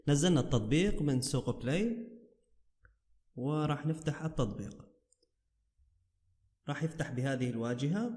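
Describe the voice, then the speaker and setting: A man's voice: mid-range voice, darkish tone. A man with an Iraqi Arabic accent narrating a casual app tutorial as its host. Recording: roomy, echoing voice recording.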